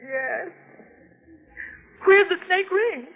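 An actress playing a distraught, frightened widow, tremulous and melodramatic, in 1940s radio mystery style. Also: female voice, very high voice, animated, drawn-out words, heavily acted. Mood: tearful, shaken. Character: distraught, frightened newly widowed wife under suspicion. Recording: dull old radio recording.

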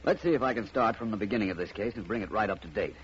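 An actor playing a shrewd, self-assured detective in a 1950s radio drama, suave and deliberate with wry phrasing. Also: male voice, on the low side, slight background noise, lightly acted. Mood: explaining calmly. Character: shrewd, self-assured private detective explaining his case. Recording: muffled vintage radio recording.

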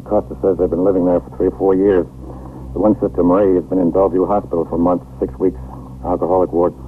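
A man acting a brisk, tough-minded New York police lieutenant in a 1950s radio crime drama, terse and commanding. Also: low-pitched, level tone, natural voice. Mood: hard-boiled, focused. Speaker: actor. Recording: tinny, faintly noisy 1950s radio broadcast.

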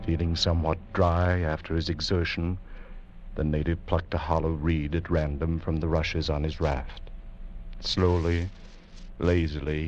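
A man narrating a scripted old radio adventure drama in a measured, dramatic manner. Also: a bass voice, a little hiss or hum. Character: solemn storyteller of a sea adventure tale. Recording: muffled old radio broadcast. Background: loud dramatic music.

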